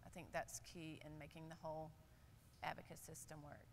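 Woman, older, slightly low pitch, candid nurse panelist, lapel mic, hall reverb. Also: a little fast, a little hiss or hum. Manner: candid, animated, with filler words. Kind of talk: panel discussion. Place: large conference hall stage.

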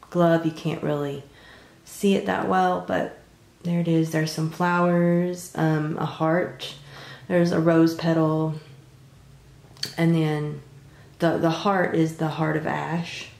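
A congested woman in her thirties with a low, gravelly voice talks through her craft pieces in a loose, rambling way, stopping often on "um". She sounds stuffed up from a cold. The room is echoey, with a faint hiss underneath. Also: slow. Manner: relaxed, rambling, many "um"s. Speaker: resin craft vlogger. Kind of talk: casual show-and-tell narration. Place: home craft table.

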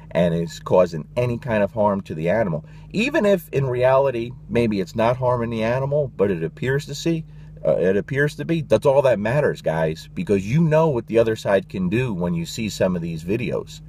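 Middle-aged male dog trainer, low voice, emphatic and blunt, casual swearing, faint hum behind. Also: darkish tone. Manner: passionate, emphatic, repetitive with frequent "you know" and "guys". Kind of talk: direct-to-camera vlog rant.

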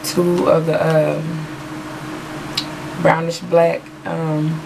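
A hoarse woman, chatting casually and rambling with many fillers as a beauty vlogger. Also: low-pitched, flat delivery, very slow, background noise. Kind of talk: casual haul video chat. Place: small room at home, patterned backdrop. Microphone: webcam in front of her.

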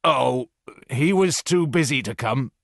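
A man's voice: medium pitch, lively intonation, measured pace, creaky, a bit bright, no echo, studio quality, voice pushed up. A man voicing an evasive, blunt country servant in storybook dialogue, with a guarded, curt manner. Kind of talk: acted audiobook dialogue.

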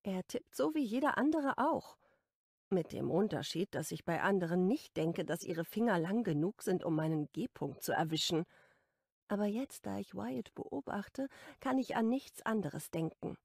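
A woman's voice: mid-range voice, animated, moderate pace, vocal fry, darkish tone, slightly rough, no echo. A woman narrating a first-person romance audiobook in an intimate, lightly playful tone.

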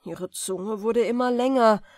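A woman reading comic folk tales as an audiobook, telling them expressively with deliberate dramatic pauses. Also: on the high side, darkish tone, no echo, studio quality. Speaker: audiobook reader.

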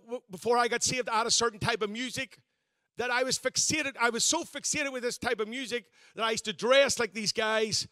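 A man's voice: very high-pitched, bright, forceful tone, dry recording, clean studio sound. A man, a pastor giving a conversational, self-deprecating sermon with frequent restarts. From Northern Ireland.

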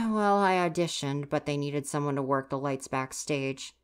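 Low-voiced, huffy tsundere student stagehand, acted by a woman. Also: fairly even intonation, a little slow, clear, resonant tone. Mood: defensive, opening up. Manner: huffy, exasperated, sighing scolding. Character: grumpy flustered tsundere student stagehand, university age. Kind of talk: ASMR roleplay, acted directly to the listener.

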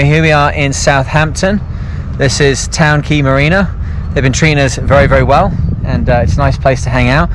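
A man's voice: medium pitch, a little hiss or hum. A man in his forties, a sailing vlogger, rambling casually through a tour of boat jobs with many ums, filmed on an action camera at arm's length outdoors in a marina. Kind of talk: casual walkthrough vlog. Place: outdoors on a yacht deck in a marina. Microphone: action camera held at arm's length.

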